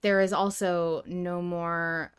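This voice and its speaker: A woman co-hosting a podcast in casual fan discussion, animated and exclamatory, swearing freely. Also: lowish voice, slow, clear, resonant tone. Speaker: podcast co-host.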